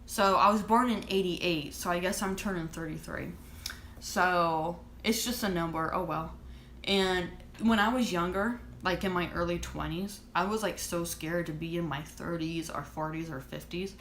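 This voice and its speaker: A woman's voice: fairly low pitch, normal speed, a bit bright, echoey room, a little hiss or hum. A woman in her thirties chatting casually to camera as a vlogger, rambling and restarting her thoughts. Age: 30s. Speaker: vlogger and author.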